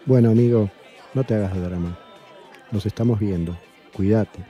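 A man's voice: lowish voice, normal speed, mellow, soft background music, some chatter behind, close to an everyday voice. A man with an Argentine accent playing a hearty, hospitable friend hosting dinner in a radio play. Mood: concerned, friendly.

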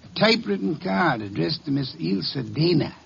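An actor playing a lofty, unhurried detective genius with formal, pompous diction in an old radio drama. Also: male voice, on the high side, animated, fairly bright tone, slight background noise, heavily acted. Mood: dryly amused. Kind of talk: 1940s-50s radio drama acting. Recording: gravelly-sounding muffled old radio recording.

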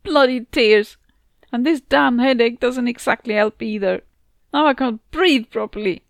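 A narrator acts out a British woman at the end of her tether in a dramatic story. Her voice sits fairly high, emphatic and full of self-doubt. It is recorded dry and close. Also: a little slow, vocal fry, no room sound. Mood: distressed, self-blaming. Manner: anguished, self-berating, emphatic. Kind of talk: dramatic first-person story dialogue.

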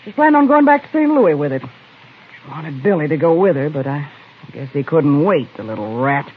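An actress playing a blunt, feisty Old West rooming-house landlady with a husky voice and folksy, emphatic speech in a radio western. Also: sits low, big pitch swings, moderate pace, full character voice. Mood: bitter, accusing. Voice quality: husky, rough voice. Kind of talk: 1950s radio western acting. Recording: tinny, narrow old-time radio recording.

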